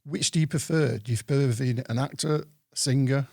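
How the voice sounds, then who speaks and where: Mellow-voiced podcast host. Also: male voice, medium pitch, a little fast, vocal fry. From Greater Manchester, England. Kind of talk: scripted introduction, then casual interview questions.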